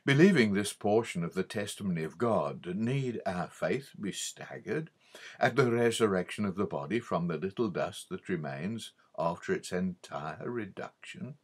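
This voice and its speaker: A man narrating an old religious audiobook in a formal, measured way with emphatic stresses. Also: sits low, animated, husky. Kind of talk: scripted audiobook reading of an old religious book.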